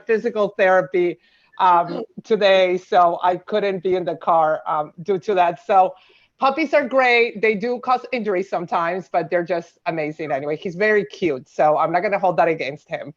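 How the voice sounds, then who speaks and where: A woman's voice: lowish voice, moderate pace. Woman hesitating through an introduction, muffled. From Argentina. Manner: hesitant, many "um" fillers. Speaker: new board member. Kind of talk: self-introduction on a video call. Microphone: video call.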